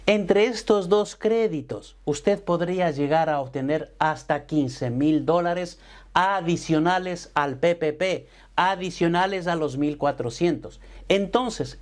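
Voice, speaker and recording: Middle-aged guy, tax coach talking to camera, slow deliberate delivery, a bit high voiced, lapel mic in his office. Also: a little mellow. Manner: slow, emphatic, addresses viewer directly with formal "usted"; mixes English terms into Spanish. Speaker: tax advisor and business coach. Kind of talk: explainer talk to camera. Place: home studio office with brick wall. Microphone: lapel mic, seated at desk.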